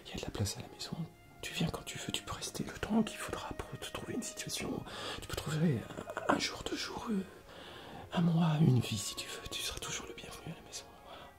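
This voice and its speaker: A man playing an earnest, affectionate middle-aged doctor and father in a whispered ASMR roleplay, halting and sincere. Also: a little high, sing-song, over loud music. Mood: earnest, moved.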